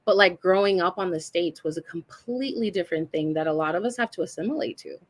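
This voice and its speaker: Woman podcast co-host, slightly low voice, chatty and animated. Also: fast talker. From Puerto Rican heritage, raised in the US. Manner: animated, chatty, thinks aloud with many likes. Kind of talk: casual panel chat.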